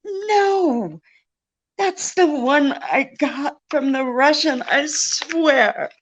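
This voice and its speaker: An actress playing an anxious, pleading femme fatale in old time radio style, halting and imploring. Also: female voice, high voice, animated, full character voice. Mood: desperate pleading.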